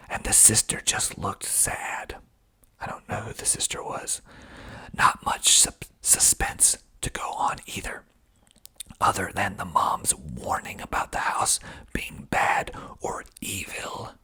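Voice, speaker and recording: A man speaking in a breathy, husky ASMR whisper, reading aloud and adding deliberate, dry asides. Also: low-pitched, bright, forceful tone. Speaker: filmmaker hosting his own video.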